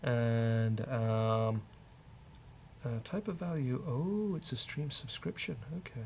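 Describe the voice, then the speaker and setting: A man's voice: medium pitch, slow, a little mellow. Man thinking aloud while coding, faint noise. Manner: joking, bantering with co-host. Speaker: host of a programming show, coding live. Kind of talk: casual live coding walkthrough, thinking aloud.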